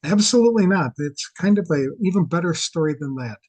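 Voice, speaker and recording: A man's voice: a little high, lively intonation, a little fast, darkish tone, breathy edge, no echo. A man in his sixties with a Wisconsin accent, telling his story earnestly as a podcast guest. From Wisconsin, USA. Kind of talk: conversational interview storytelling.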